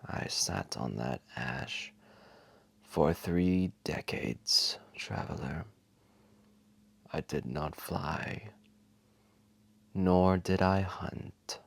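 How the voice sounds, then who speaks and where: A man voicing an ancient, massive dragon, wise, gentle and remorseful, telling a solemn story to one listener in a gravelly, breathy half-whisper. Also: a bass voice, full character voice. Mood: solemn, regretful. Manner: solemn, deliberate, tender. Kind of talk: slow dramatic storytelling to a single listener.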